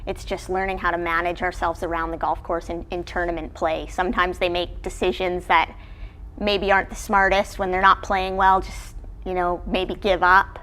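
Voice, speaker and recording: A woman's voice: lowish voice, little pitch movement, moderate pace, a bit bright, faint music behind. A woman who coaches college sport, answering interview questions in a casual, conversational way. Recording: clearly noisy background ambience.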